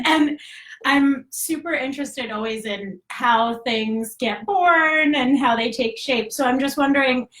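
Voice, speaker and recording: A woman's voice: fairly high pitch, a bit bright. A woman hosting a live interview, upbeat, with hedging phrases like "sort of" and "you know". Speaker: interviewer, magazine editor. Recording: roomy echo.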